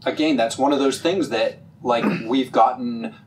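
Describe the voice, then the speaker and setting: A young man co-hosting a casual chat about a TV episode, animated and halting, full of "like" and restarts. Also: medium pitch, slight background noise, rough recording. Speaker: podcast co-host.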